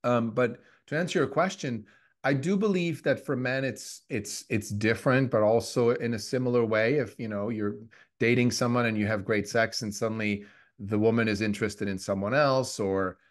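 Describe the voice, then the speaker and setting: A man in his fifties from Switzerland, co-hosting a podcast in a reflective, halting way with many restarts. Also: medium pitch. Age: 50s. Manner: reflective, restarts often, lots of fillers. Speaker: podcast co-host. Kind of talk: casual conversational podcast.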